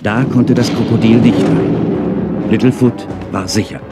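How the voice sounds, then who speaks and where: A man's voice: medium pitch, fairly even intonation, a little slow, vocal fry. A man narrating a scripted children's story in a dramatic storytelling manner. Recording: dull cassette sound with music.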